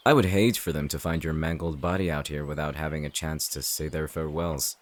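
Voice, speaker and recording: Guy acting cocky sneering villain hunter in an audio drama, low deep voice, full of contempt. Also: steady tone, fairly quick. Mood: smug, sneering. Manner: sneering, taunting, smug. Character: cocky, contemptuous male shifter-hunting villain.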